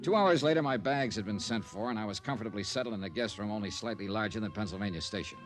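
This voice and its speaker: A man's voice: on the low side, quick pace, lightly acted. A man playing a wry, easygoing insurance investigator who narrates his own case in an old-time radio detective drama. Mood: wry narration. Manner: wry, dry wisecracks. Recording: vintage radio recording with faint music.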